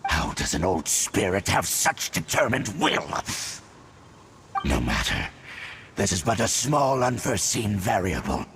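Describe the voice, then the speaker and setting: An actor playing a menacing, haughty abyssal sorcerer in a video game, with theatrical delivery. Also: male voice, low-pitched, very animated, a little slow, gravelly, noisy background, heavily acted. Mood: scornful, smug. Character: menacing, gloating male abyssal sorcerer monster.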